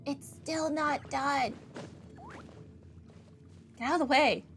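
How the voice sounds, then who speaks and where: A woman's voice: sits high, slow pace, a bit bright, a little hiss or hum. A woman gamer giving casual playthrough commentary and reading some dialogue aloud. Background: faint game music.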